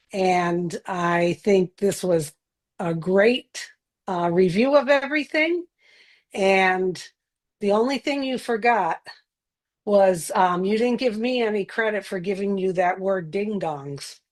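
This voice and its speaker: Female panel co-host, unhurried and halting, casual appreciative chat, mid-pitched voice. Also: animated.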